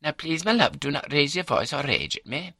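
Elderly Scottish wife: high, lilting, prim and scatterbrained, audiobook character. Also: male voice, very animated, fairly quick, creaky, bright, forceful tone, husky, no echo, studio quality, exaggerated voice. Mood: placid, confiding. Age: older. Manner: prattling, primly matter-of-fact.

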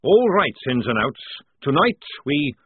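A man voicing a gruff, loud veteran army sergeant who barks orders. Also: fairly high pitch, big pitch swings, unhurried, no echo, pitched above the performer's own voice, full character voice. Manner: barking orders. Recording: dry tinny phone-like sound.

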